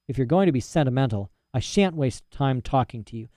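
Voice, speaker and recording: A man reading a story aloud and voicing a stern, detached nobleman who speaks quietly and evenly. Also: mid-range voice, animated, a little fast, no room sound, studio quality, natural voice. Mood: calm cold. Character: cold, calm, stern aristocratic father.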